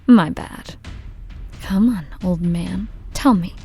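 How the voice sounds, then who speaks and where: A woman's voice: fairly low pitch, takes it slowly, vocal fry, a little mellow, soft background music. A woman playing a sardonic, grieving, foul-mouthed woman pressing for answers in a radio drama. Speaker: actor in an audio drama.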